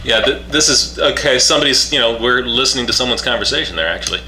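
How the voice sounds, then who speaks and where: A man giving a casual, unscripted product demonstration in a halting way, repeating and restarting his phrases. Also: medium pitch, lively intonation, pushed and bright. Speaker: product reviewer demonstrating a two-way radio. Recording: roomy echo, faint background hum.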